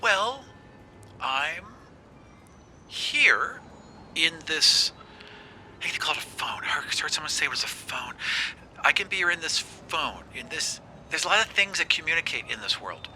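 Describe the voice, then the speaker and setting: A man's voice: on the high side, very animated, normal speed, long pauses, sharp tone, a little hiss or hum, heavily acted. A man voicing an upbeat, frank female spirit who speaks through phones in a tabletop game, with a breezy, slightly hesitant manner. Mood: sheepish, frank.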